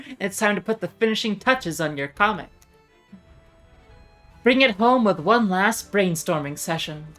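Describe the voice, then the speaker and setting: Woman streamer reading game text, mid-pitched. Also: a bit bright. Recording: music behind the voice.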